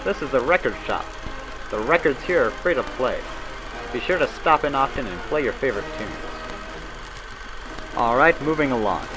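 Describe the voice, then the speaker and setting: A man's voice: mid-range voice, animated, rough recording. Man narrating like a 1940s training film, music behind. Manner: brisk, instructive, folksy period phrasing.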